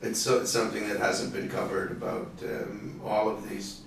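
A man's voice: fairly low pitch, flat delivery, normal speed, vocal fry, heavy background noise. A man telling casual, laughing stories as a comedy podcast co-host. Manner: laughing storyteller, lots of fillers. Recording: very echoey room, mic at a distance.

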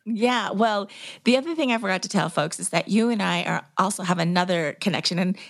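A woman's voice: mid-range voice, fairly quick. A woman hosting an interview in a conversational style, speaking in an affirming, effusive manner. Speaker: host. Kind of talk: conversational guest introduction.

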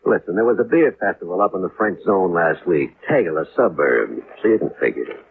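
A man playing a prickly, cagey interpreter with a needling, drawn-out delivery in a 1950s radio drama. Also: low voice, expressive, gravelly. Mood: prickly, warning. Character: prickly, cagey male American Army interpreter, slyly familiar. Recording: muffled, noisy 1950s radio recording.

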